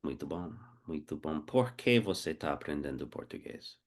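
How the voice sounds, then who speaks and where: A man acting as host and teacher, asking slow, simple questions in a casual oral interview. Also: extremely low pitch, animated.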